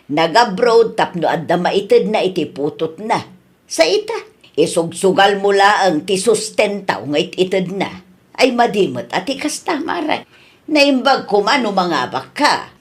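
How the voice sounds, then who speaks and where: A woman's voice: medium pitch, expressive, a little slow. Woman acting a scolding, exasperated female friend. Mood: disapproving. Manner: chiding, exasperated. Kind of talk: comedy radio drama acting.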